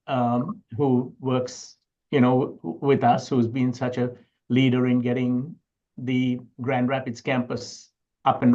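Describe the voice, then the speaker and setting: A middle-aged man speaks as an interview guest, in a conversational style. His voice sits at a medium pitch, and the sound is a little muffled and lo-fi. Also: darkish tone. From Sri Lanka. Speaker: interview guest, university educator and researcher.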